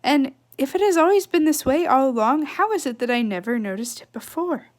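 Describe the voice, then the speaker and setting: A woman's voice: sits high, lively intonation, fairly quick, voice pushed up. A woman voicing the thoughts of a trusting, wonderstruck male squirrel in a children's book, simply and with awe. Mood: wonder.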